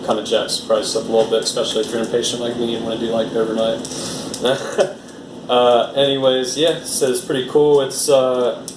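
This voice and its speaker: A man's voice: medium pitch, little pitch movement, a little fast, far from the mic, background noise. Rambling American man in echoey room. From United States. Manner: rambling, filler-heavy, easygoing. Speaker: art vlogger. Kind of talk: casual unboxing chat.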